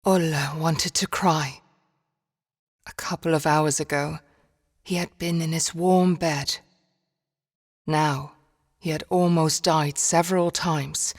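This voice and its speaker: A woman narrating a dark fairy tale for a video game in a measured, solemn storytelling manner. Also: low-pitched, steady tone. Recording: long reverberant hall-like echo.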